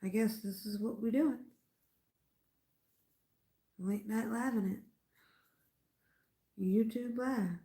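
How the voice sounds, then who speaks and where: A woman's voice: fairly high pitch, slow, long pauses, dark tone. A woman hosting a crochet livestream in casual late-night chat, chatty and playful, with a slightly stuffy nose. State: stuffy nose from a mild cold. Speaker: crochet channel host.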